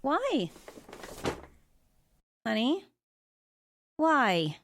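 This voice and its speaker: An actress in an audio drama playing a long-suffering wife with a pointed way of talking. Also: female voice, high-pitched, huge pitch swings, very slow, fairly bright tone, a little hiss or hum, full character voice. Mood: concerned, pressing. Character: exasperated working wife, sweet turning sharply disappointed. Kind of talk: naturalistic audio drama acting.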